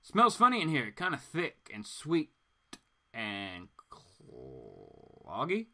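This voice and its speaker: A man's voice: mid-range voice, wildly swooping pitch, extremely slow, creaky, bright, forceful tone, slight background noise, voice pushed down, heavily acted. A man voicing a plain-spoken family man from a comic book, with rustic, folksy phrasing. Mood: curious.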